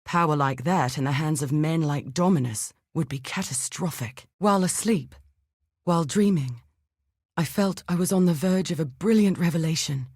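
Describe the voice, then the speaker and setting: An actress playing an earnest, scholarly archaeologist in a video game, speaking in an articulate, formal and measured way. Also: female voice, sits low, a little mellow, husky, no room sound, studio quality. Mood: vindicated, excited. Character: earnest scholarly female archaeologist, cautious and idealistic. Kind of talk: scripted video game dialogue.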